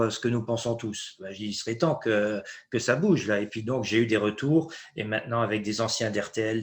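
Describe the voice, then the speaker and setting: A man from Luxembourg hosting an interview in conversational French, emphatic and animated, sometimes laughing as he talks. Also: medium pitch. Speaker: interview host.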